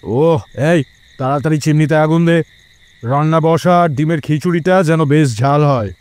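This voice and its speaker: Angry, bossy servant, male actor: coarse raised voice, slightly high, snapping out orders in an audio horror story. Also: moderate pace, natural voice. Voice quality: coarse, loud.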